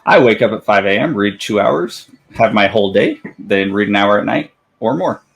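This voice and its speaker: A man talking calmly as a guest in a casual interview, in a fairly flat way, with many "like"s. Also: fairly low pitch, moderate pace, vocal fry. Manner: calm, says he has a monotone voice, lots of "like". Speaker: guest, book reviewer. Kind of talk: casual chat.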